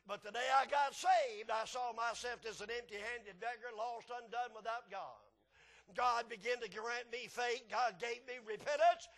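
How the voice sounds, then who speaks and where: An older male preacher gives a fervent evangelistic sermon. His voice is big and loud, pitched well above most men's. He speaks in a folksy, emphatic way, swelling between quieter asides and forceful declarations. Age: 60s or older.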